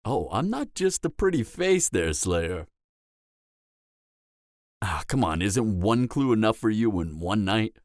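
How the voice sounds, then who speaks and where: A man's voice: fairly low pitch, big pitch swings, dry recording, clean studio sound. A man playing a cocky, flirtatious and dangerous vampire in an audio roleplay, teasing the listener with a smug, seductive delivery. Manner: teasing, smug, seductive drawl with dramatic pauses. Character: cocky, flirtatious, dangerous adult male vampire, former killer.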